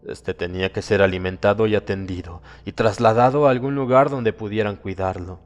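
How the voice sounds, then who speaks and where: A man giving a dramatic, suspenseful narration of a horror audiobook, with deliberate, theatrical phrasing. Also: on the low side, muffled recording. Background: music.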